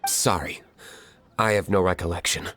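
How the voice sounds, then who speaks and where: Curt, stoic tavern owner. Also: male voice, deep voice, normal speed, sharp tone. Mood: cold, guarded. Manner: dry, terse, guarded. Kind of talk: video game voice acting. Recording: music behind the voice.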